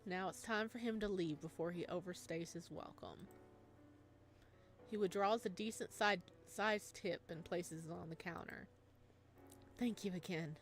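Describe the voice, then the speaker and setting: A woman's voice: on the low side, normal speed, faint music behind. A woman playing a game on her channel, reading its story aloud as dramatic narration mixed with casual commentary.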